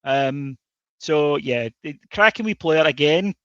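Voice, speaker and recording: A man's voice: on the high side, animated, takes it slowly, fairly bright tone, smooth, dry recording. A Scottish man co-hosting a football podcast, chatting casually with lots of fillers and restarts. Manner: hesitant, many fillers and restarts. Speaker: podcast co-host. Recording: dull dry lo-fi sound.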